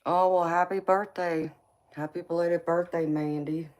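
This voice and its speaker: A woman's voice: on the low side, fairly even intonation, unhurried, darkish tone. A woman in her forties with a slight Southern American twang, chatting casually with viewers on a livestream and calling them baby and honey. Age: 40s. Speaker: tarot reader answering live chat.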